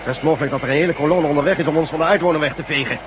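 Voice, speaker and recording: A male actor playing a brisk, authoritative leader of a ragged rebel band in a science-fiction radio drama, curt and given to cursing. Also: fairly high pitch, noisy background, close to an everyday voice. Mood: angry, urgent. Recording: muffled old radio drama recording.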